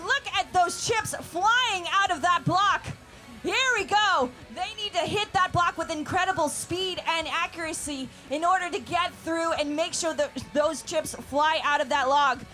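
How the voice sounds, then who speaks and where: Woman, event announcer, very high voice, crowd-hyping, music playing behind. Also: bright, forceful tone. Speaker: arena announcer.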